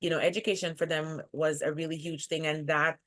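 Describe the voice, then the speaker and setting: A woman's voice: deep voice, flat delivery, fairly quick. Jamaican woman in conversation. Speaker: podcast guest, leadership coach. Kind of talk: conversational interview.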